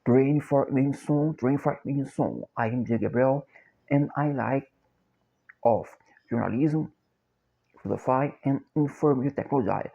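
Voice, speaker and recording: A middle-aged Brazilian man with a Portuguese accent, speaking English slowly and haltingly in a repetitive, chant-like monologue. He repeats short phrases over and over like a mantra. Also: mid-range voice, gravelly, dark tone. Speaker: self-described copywriter and philosopher. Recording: dull lo-fi recording.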